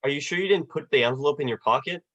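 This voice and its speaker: A man's voice: a little high, racing, fairly bright tone, lo-fi sound. A man playing a short-tempered, desperate family man in a radio play, agitated and stammering. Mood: frantic, angry. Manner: agitated, stammering, repeating phrases. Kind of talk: radio drama acting.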